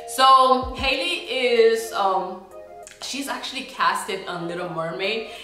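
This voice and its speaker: A woman's voice: on the high side, takes it slowly. Chatty young woman in an echoey room. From South Sudan. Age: young adult. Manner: chatty, animated, swings between loud emphasis and soft asides. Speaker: vlogger. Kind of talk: casual chat to camera. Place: small indoor room with a plain backdrop. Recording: very echoey room with music playing behind.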